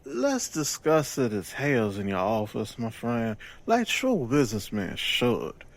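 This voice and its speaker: An actor playing a mock-courteous gangster boss in an audio drama, with florid, formal phrasing. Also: male voice, mid-range voice, wildly swooping pitch, measured pace, heavily acted. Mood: smooth, scheming.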